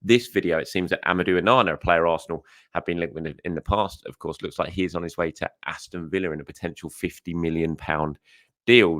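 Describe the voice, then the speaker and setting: A young adult man who hosts a football news show, talking in a casual, chatty way. He is hoarse and often uses fillers and corrects himself as he goes. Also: low-pitched, a bit bright, no room sound, studio quality. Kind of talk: casual solo commentary.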